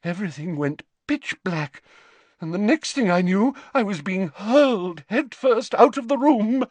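A man voicing a haughty older female divination teacher in a storybook, with theatrical, drawn-out words and sniffy, mystical self-importance. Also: sits high, animated, no echo, pitched above the performer's own voice. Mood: haughty, indignant.

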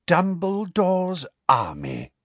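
A man voicing a pompous, excitable middle-aged government minister from a storybook, blustering and spluttering with emphasis. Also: sits high, takes it slowly, no echo, pitched above the performer's own voice, full character voice. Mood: quietly triumphant.

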